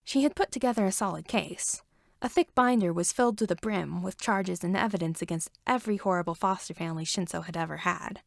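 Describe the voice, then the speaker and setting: A woman's voice: lowish voice, fairly quick, creaky, dry recording, clean studio sound. A woman reading a story aloud as scripted narration. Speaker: fan fiction reader.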